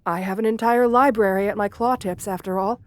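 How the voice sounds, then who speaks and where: A woman's voice: medium pitch, fairly even intonation, a little fast, no room sound, close to an everyday voice. A woman playing a shy, sweet, bookish gargoyle in a romantic ASMR roleplay, speaking hesitantly with polite, old-fashioned formality. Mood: gentle, wistful.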